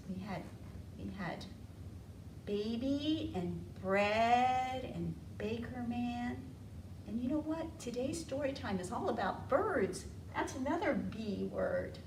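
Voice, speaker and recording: A woman's voice: fairly high pitch, expressive, slow. A woman hosting storytime for young children, coaxing them along with questions as she tells stories and rhymes. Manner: coaxing, asking the listeners questions. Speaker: children's library storytime host. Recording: echoey room, distant mic, clear noise.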